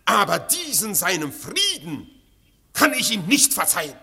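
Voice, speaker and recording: A bitter young rebel with a high, bright, tense voice and a breathy edge, hurling impassioned accusations. His lines ring in a long reverberant hall in this radio play. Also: wildly swooping pitch, measured pace, exaggerated voice. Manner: impassioned, accusing, half-sung.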